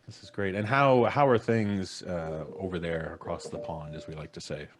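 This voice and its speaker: A man's voice: deep voice, steady tone, moderate pace, a little hiss or hum. A middle-aged man hosting a live stream casually, with jokey, theatrical introductions, on a studio mic. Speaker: podcast host. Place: small indoor home studio, on a video call. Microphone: studio mic on a boom arm.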